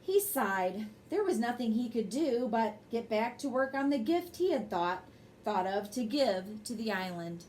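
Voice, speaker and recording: A woman's voice: fairly high pitch, very animated, a little slow, darkish tone, slight background noise. A woman reading a children's bedtime story aloud expressively, with brief asides to her young listeners. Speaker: storybook reader and host.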